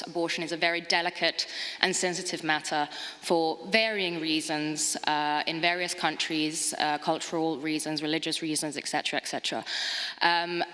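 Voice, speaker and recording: A woman from Finland, giving a measured conference presentation with frequent hesitant fillers. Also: fairly low pitch, sharp tone. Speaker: conference speaker, project officer. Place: conference hall. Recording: long reverb of a large hall.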